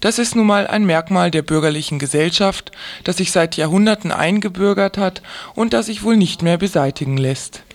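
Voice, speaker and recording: A man's voice: high-pitched, moderate pace. A man presenting on radio, reading out a scripted press review commentary.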